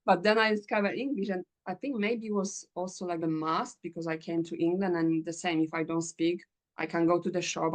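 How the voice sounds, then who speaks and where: A Polish woman hosting an English-learning podcast, chatting in a lively, encouraging way and laughing often. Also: on the low side. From Poland. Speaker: English teacher and podcast host. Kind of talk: casual interview chat.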